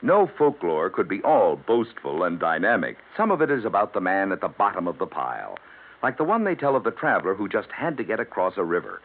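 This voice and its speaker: A man narrating a folk tale in a measured, folksy, relaxed way. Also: low voice. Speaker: storyteller. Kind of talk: folklore storytelling narration. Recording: tinny old radio broadcast.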